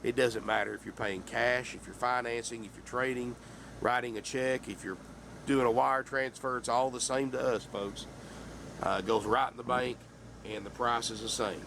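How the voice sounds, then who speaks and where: A man, hoarse from a sinus infection, giving a folksy sales pitch for a camper van and calling his viewers folks. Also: steady tone, normal speed, fairly bright tone, slight background noise. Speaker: RV dealer. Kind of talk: sales pitch walkthrough video.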